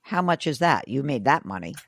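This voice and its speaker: Woman host, hoarse and raspy, brisk and direct, lively pitch, dry close sound. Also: no room sound. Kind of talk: call-in money advice show.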